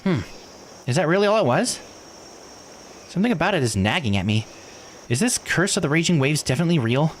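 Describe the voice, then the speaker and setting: A man's voice: fairly high pitch, big pitch swings, fairly quick, long pauses, a little hiss or hum, voice pushed up. A man voicing an earnest, worried young islander in a visual novel, polite and questioning. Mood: puzzled. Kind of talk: visual novel read-aloud voice acting.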